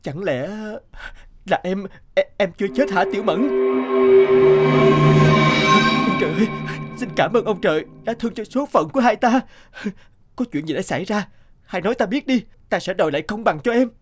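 Casting: devoted young scholar-official, animated folktale, earnest, dramatic, lively intonation over music. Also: male voice, sits high, a little fast. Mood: tender relief.

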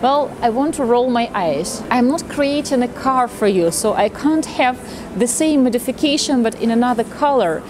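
A woman in her thirties with a fairly high voice speaks to camera as a presenter. Her delivery is explanatory and animated, slipping into acted-out remarks. She is outdoors on a city street, with clear street noise behind her. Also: normal speed. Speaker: matchmaker presenting a video channel. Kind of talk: talking to camera, explanatory with anecdotes.

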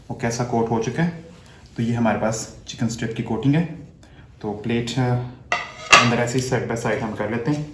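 Chef guy in his 30s walking thru a recipe, echoey kitchen, bit of noise behind. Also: mid-range voice. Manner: step-by-step instructive, speaks while working with hands. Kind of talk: cooking demonstration. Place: home kitchen, indoors.

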